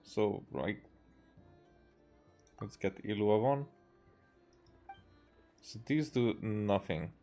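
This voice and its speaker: A man from Hungary with a Hungarian accent, giving casual, halting gameplay commentary as he streams. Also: lowish voice, animated, warm tone, soft background music. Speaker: game streamer.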